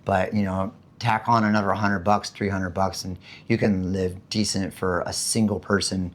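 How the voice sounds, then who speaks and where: A man from the United States with a hoarse voice after being sick, chatting casually and rambling about living costs, with many fillers and restarts. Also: low-pitched, flat delivery, normal speed. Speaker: vlogger. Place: home in the Philippines.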